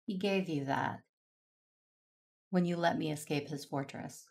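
An actress playing a calm, dutiful older-sister Jedi in a sci-fi audio drama, restrained and earnest, over music. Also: female voice, low voice, unhurried, gravelly. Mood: solemn concern. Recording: music under the voice.

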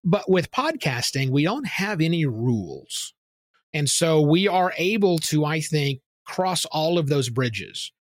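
A man's voice: fairly high pitch, sing-song, slow pace, no echo. A man answering interview questions in an opinionated, emphatic way, explaining his points with examples. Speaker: podcasting guest expert.

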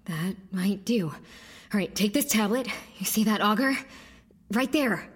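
A woman's voice: medium pitch, animated, unhurried, husky. An actress playing a tough, no-nonsense woman farmer in an audio drama, curt and commanding. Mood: urgent.

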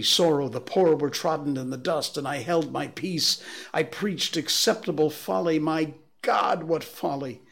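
A man voicing a frantic, half-mad curate who rants and wails about judgment, with a husky voice. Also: mid-range voice, a bit bright, pitched above the performer's own voice, full character voice. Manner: ranting, wailing, pleading. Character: frantic male curate preaching doom.

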